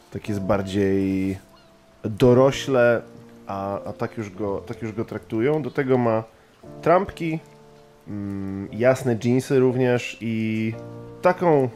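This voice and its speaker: Male tabletop player, lowish voice, hesitant, faint music bed. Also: darkish tone. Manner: thoughtful, with hesitant fillers. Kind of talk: describing his character.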